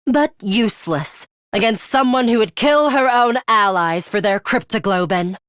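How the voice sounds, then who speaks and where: A woman voicing a ruthless, blunt high school girl fighter in a video game, composed, deadpan and commanding. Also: unhurried, no echo, clean studio sound. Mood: cool, dismissive. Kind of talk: scripted English game voice acting.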